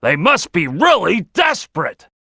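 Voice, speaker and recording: Big loud croc leader for a video game, gravelly and bright, bossy as anything. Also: male voice, high-pitched, huge pitch swings, measured pace, no echo, studio quality, heavily acted. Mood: bossy, assured. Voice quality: gruff, gravelly. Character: big brash male crocodile detective, gruff team leader. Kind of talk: video game character acting.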